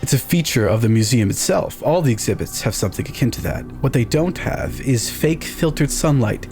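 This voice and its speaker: A man improvising descriptive tabletop game narration in deliberate, evocative phrases with pauses. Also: mid-range voice, expressive. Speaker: tabletop game player, storyteller. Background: music.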